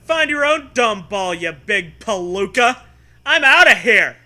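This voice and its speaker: A feisty young storybook girl, acted out by a man, snaps in a raised, bright, forceful voice. She is easily disgusted and exclaims loudly. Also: high-pitched, sing-song, measured pace, pitched above the performer's own voice, full character voice. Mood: snappy, indignant. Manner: snappy, loud, exclamatory.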